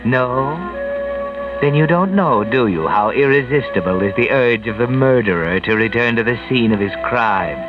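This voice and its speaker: A man's voice: mid-range voice, lively intonation, moderate pace, close to an everyday voice. A man playing a sinister, all-knowing mystery narrator in 1940s radio style, ominous, theatrical and mocking. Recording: dull vintage radio recording with music.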